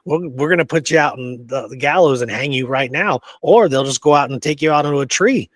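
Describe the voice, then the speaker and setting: A man's voice: a little high, a little fast, dry recording. A man co-hosting a history podcast in a casual, conversational way, often checking that his listener follows. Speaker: podcast co-host.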